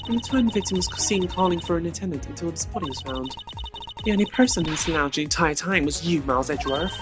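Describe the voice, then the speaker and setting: A man's voice: on the high side, expressive, fast, voice pushed up, lightly acted. A man doing a haughty female prosecutor. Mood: imperious. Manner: cold, cutting, imperious. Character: haughty young female prosecutor, stern and proud. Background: game music.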